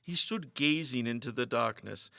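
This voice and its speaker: A man's voice: medium pitch, animated, moderate pace, creaky, pushed and bright, no echo, clean studio sound. A man reading a ghost story as dramatic, suspenseful scripted narration, in a theatrical manner. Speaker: story reader.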